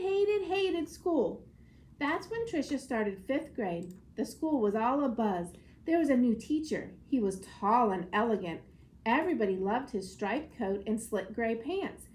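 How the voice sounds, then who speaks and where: A woman schoolteacher giving an expressive storybook read-aloud lesson in a clear, explanatory classroom manner. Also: fairly high pitch, unhurried.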